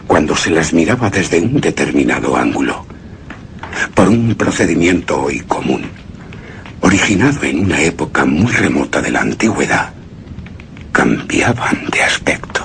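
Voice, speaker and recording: A man's voice: very deep voice, creaky, fairly bright tone, a little hiss or hum, exaggerated voice. A man playing a brooding, obsessive widower who narrates his haunted past in solemn, theatrical radio horror style. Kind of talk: dramatic literary narration, radio horror drama.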